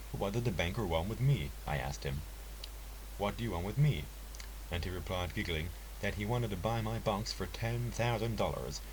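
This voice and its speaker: Low-voiced man narrating over hiss. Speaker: narrator reading a story aloud. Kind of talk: scripted first-person narration. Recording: hissy, clearly noisy home recording.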